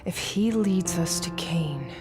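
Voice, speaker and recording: Young female agent, slightly low voice, determined, dry, game cutscene, loud music. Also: slow pace. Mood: focused, dry. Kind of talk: video game voice acting.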